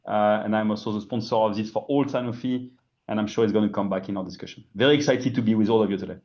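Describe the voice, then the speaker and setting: A man with a French accent introducing himself as a panelist in a business panel discussion, speaking in a measured way with frequent fillers. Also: lowish voice, fairly even intonation, a little mellow, lo-fi sound. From France.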